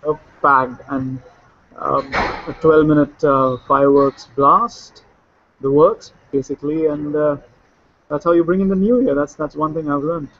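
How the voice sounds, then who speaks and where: A man's voice: a little high, steady tone, a little slow, a bit bright. Man analysing cricket over dull lo-fi audio. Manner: fluent, with fillers and restarts. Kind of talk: casual cricket discussion. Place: Sydney, remote link.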